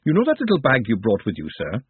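A man voicing a brisk, bossy young woman with a husky voice. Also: mid-range voice, huge pitch swings, dry recording, full character voice. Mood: urgent. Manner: urgent, bossy. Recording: tinny phone-like band.

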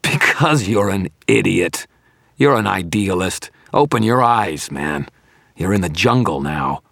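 A man performing a harsh, snarling, villainous dark wizard. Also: low voice, steady tone, a little slow, creaky, husky, no room sound, studio quality, heavily acted. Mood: snarling contempt. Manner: taunting, shouting, contemptuous.

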